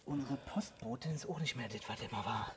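A woman playing a wistful, deadpan villager, speaking quietly in a naturalistic German radio play. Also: a bass voice, brisk, very noisy.

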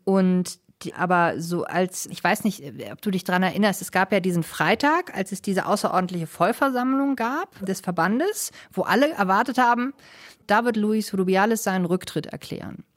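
A woman's voice: medium pitch, normal speed. A woman working as a foreign correspondent, telling things in a chatty, colloquial interview style full of acted-out asides. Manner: chatty, colloquial, animated storytelling with quoted asides. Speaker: correspondent in Spain. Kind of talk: casual conversational interview report.

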